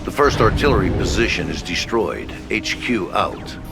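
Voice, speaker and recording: An actor playing a brisk, businesslike military headquarters officer giving clipped orders in a video game. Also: male voice, lowish voice, expressive, gravelly. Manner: clipped, businesslike radio sign-offs. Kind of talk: scripted video game mission briefing. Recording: loud music behind.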